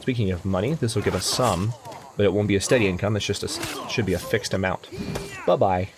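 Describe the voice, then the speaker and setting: A man's voice: lowish voice, fairly quick, background noise. A young man casually commenting on a strategy game as he plays, thinking aloud and often correcting himself. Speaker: let's play host. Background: faint game music.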